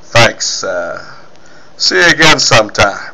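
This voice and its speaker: A man voicing a mild, dazed amnesiac ballplayer with a halting, uncertain manner, as in a 1940s radio serial. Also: medium pitch, sing-song, sharp tone, slight background noise, exaggerated voice, rough recording. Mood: politely unsure.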